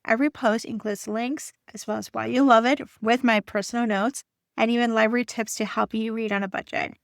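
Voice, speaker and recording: A woman's voice: on the high side, fairly even intonation, a little fast, gravelly, dry recording, clean studio sound. A woman hosting a casual podcast in an upbeat, enthusiastic way. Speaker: podcast host.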